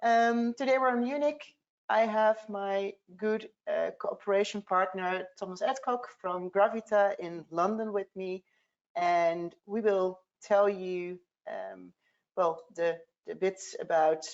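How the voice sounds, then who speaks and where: A woman with a Dutch accent hosting a business webinar, speaking in a measured way with frequent fillers. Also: mid-range voice, fairly even intonation, highs cut off. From Netherlands. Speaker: tax partner hosting a webinar.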